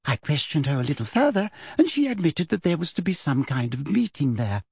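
A man's voice: a little high, sing-song, brisk, creaky, a little mellow, husky, no room sound, heavily acted. A man voicing a simpering, falsely sweet woman official with a cruel streak underneath, as an audiobook character. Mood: smug.

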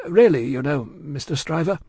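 A man voicing a discreet, anxious elderly bank man from a classic novel, polite, hesitant and measured. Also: fairly high pitch, lively intonation, dark tone, husky, no room sound, studio quality. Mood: uneasy, tactful. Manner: hesitant, courteous, measured, fussy. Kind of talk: acted audiobook dialogue from a classic novel.

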